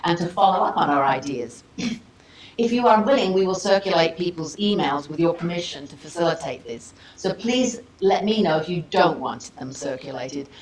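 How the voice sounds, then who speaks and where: A woman's voice: lowish voice, animated, normal speed. A woman welcoming an audience as host of an academic symposium, speaking informally and conversationally with casual asides. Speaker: symposium host giving opening remarks. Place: seminar room with audience. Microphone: worn microphone. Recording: roomy echo, audible background noise.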